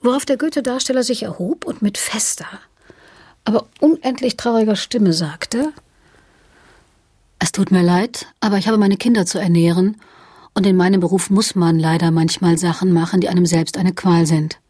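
A woman's voice: medium pitch, moderate pace, mellow, slightly rough, no echo, studio quality, lightly acted. A woman performing a wry storyteller who recounts a literary gathering in a radio play. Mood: wry storytelling.

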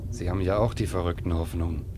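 An actor playing a subdued, lonely young sailor in a German radio drama, quiet and hesitant in his answers. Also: low-pitched, steady tone, quick pace, lightly acted. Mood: reserved, curious. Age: young adult. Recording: noisy 1950s radio recording.

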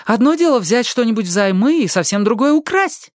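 A woman voicing a sharp, stubborn teenage girl in an audiobook, emphatic and quick to argue or tease. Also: mid-range voice, animated, no room sound, clean studio sound, pitched above the performer's own voice, close to an everyday voice. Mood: indignant. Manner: emphatic, argumentative. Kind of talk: audiobook character voice.

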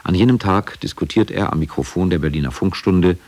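A man reading scripted factual narration for a radio documentary in a measured, sober manner. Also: low voice, a little mellow.

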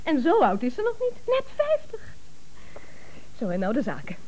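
A spirited young female office assistant for radio comedy. Her voice is high and swoops with lively intonation. She is teasing, emotional and quick to exclaim. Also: slight background noise, rough recording. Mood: teasing, brisk. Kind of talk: 1970s Dutch radio comedy acting.

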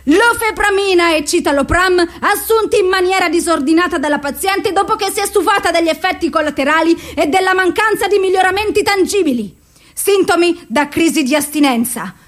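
A high voiced clinical woman reading out a psych case file, clipped lists, radio play. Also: fairly bright tone. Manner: clipped, list-like recital of symptoms and doses. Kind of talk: theatrical radio drama monologue.

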